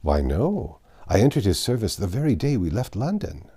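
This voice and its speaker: A man's voice: fairly low pitch, very animated, moderate pace, warm tone, husky. A man voicing a voluble, proud and trusting French servant in a storybook, chatty and emphatic. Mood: confiding.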